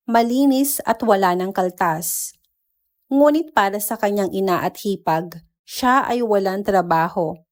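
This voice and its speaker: A woman's voice: mid-range voice, a little mellow. A woman storyteller reading a scripted dramatic narration.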